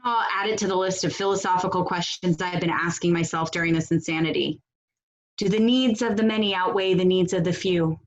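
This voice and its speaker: A woman acting a blunt, sardonic protest organizer in a stage comedy over a video call, with a brisk, dry, bossy delivery. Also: fairly low pitch, highs cut off, natural voice. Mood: dry, musing. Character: blunt, sardonic female leader of a protest cell.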